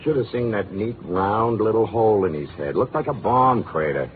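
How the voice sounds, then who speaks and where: Actor as lowish-voiced wisecracking cop, old radio. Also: male voice, moderate pace, phone-quality sound, close to an everyday voice. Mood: blasé. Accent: American. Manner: clipped, slangy, dismissive. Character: lazy, callous, wisecracking male police sergeant. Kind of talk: 1950s radio drama acting. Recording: thin vintage radio broadcast, faint noise.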